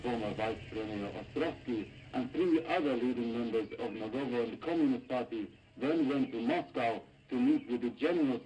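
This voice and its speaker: An actor playing a grave radio news correspondent in a video game, reading a bulletin in a measured, formal way. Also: male voice, mid-range voice, lo-fi sound, natural voice.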